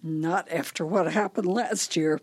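Fretful, husky elderly wife. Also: female voice, on the low side, a little slow, darkish tone. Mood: indignant. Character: fretful, grieving elderly wife, clinging to memories. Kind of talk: radio play acting.

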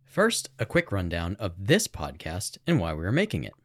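A man with a very low voice co-hosts a home podcast, his pitch swinging widely as he talks. He rambles through stories, restarting and correcting himself. He sounds recorded at home on a boom-arm mic. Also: huge pitch swings, moderate pace. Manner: rambling, self-correcting, chatty. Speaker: podcast producer and co-host. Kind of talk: casual podcast chat. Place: home recording setup in a house. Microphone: boom-arm microphone.

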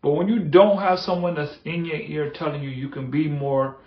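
Male motivational podcast host, fairly high voice, earnest and repetitive, dull echoey room. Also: fairly even intonation, normal speed. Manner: earnest, repetitive, building emphasis. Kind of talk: motivational monologue.

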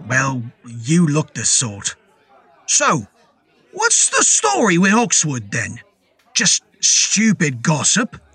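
A man's voice: on the high side, huge pitch swings, slow pace, pushed and bright, breathy edge, heavily acted. A man voicing a nervous, inquisitive young pub customer who speaks hesitantly and politely in a horror story. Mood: probing. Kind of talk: dramatised horror audio story.

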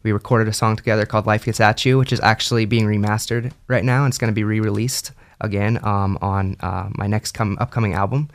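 A man's voice: fairly low pitch, fairly even intonation, brisk, few pauses. A young man answering interview questions in an easygoing way, with lots of ums and friendly agreement. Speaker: guest musician.

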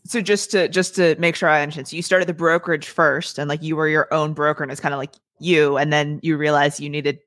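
A woman's voice: sits low, little pitch movement, fairly quick, hardly any pauses, fairly bright tone, dry recording. A woman hosting a casual real estate podcast, friendly and upbeat with many fillers.

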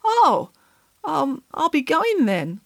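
Vrouw speelt eager storybook female pig, high and bright, lively lift, very dry sound. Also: fairly quick, dry recording, full character voice. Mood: crestfallen. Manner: eager, earnest, proper. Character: cheerful clueless female pig musician.

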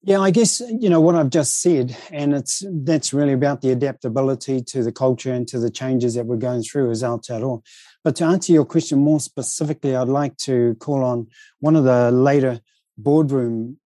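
A man with a New Zealand accent talks as an interview guest in a considered, conversational style. His voice is soft-edged and very dark in tone, at an average pitch. The sound is close and dry. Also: moderate pace, dry recording. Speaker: interview guest, board chair and speaker.

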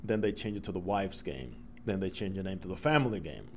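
A middle-aged man from the Dominican Republic whose first language is Spanish, a broadcaster telling lively stories as a guest in an interview. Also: sits low, fast, slight background noise. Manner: animated storyteller, acts out quoted voices. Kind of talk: storytelling interview.

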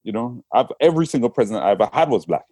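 A man's voice: mid-range voice, very animated, very fast, dark tone, husky, no echo. A man from Trinidad with a Trinidadian accent, talking reflectively as a poet in a casual interview. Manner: reflective, with frequent restarts and "you know what I'm saying". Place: England.

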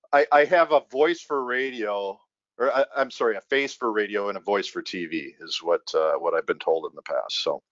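A man's voice: mid-range voice, fairly bright tone, dry recording, highs cut off. A man hosting a podcast in casual conversation, joking and self-deprecating as he rambles between questions. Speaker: podcast host.

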